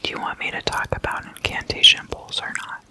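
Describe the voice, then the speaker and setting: An ASMR host speaking in a breathy, unvoiced whisper, informal and playfully chatty. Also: a little fast. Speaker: ASMR host explaining demon folklore.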